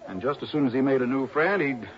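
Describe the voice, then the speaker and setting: A man's voice: mid-range voice, expressive, fast, close to an everyday voice. An actor playing a fretful, obliging American insurance man in a 1960s radio drama, speaking in an earnest, hesitant, confiding way. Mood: confiding, disapproving. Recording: dull old radio broadcast.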